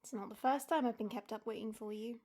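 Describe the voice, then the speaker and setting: An actress playing an affectionate, feisty young wife in an audio roleplay, teasing and soft-hearted. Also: female voice, fairly high pitch, steady tone, fast, natural voice. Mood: resigned.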